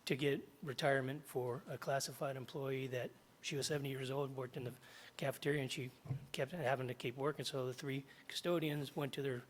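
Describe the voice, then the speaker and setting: A middle-aged man, slightly hoarse after jaw surgery, reading prepared remarks at a podium in a large meeting hall and stopping often to clear his throat. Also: mid-range voice, fairly even intonation, brisk, hardly any pauses, a little hiss or hum. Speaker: union chapter president. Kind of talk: public comment at a board meeting. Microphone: podium microphone.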